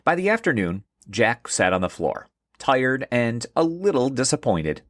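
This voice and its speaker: A man hosting and narrating a scripted children's story in an expressive storytelling manner. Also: medium pitch, unhurried, no echo, studio quality. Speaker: host and narrator.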